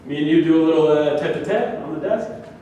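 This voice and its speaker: Man acting out a touchy delusional middle-aged guy on trial, flirty and halting, stage play, really echoey room. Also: fairly high pitch, mellow, muffled recording, lightly acted. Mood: flirtatious, needling. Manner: halting, needling, indignant when challenged. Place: live staged reading in an echoing room. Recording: echoey room, distant mic, background hiss.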